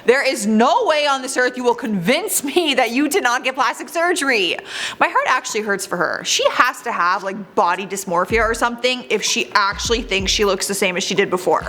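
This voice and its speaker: A young woman with a fairly high voice hosts a celebrity countdown, mixing scripted reading with chatty, candid asides. Light music plays faintly underneath. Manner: chatty, candid asides, lively swoops in pitch. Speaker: host.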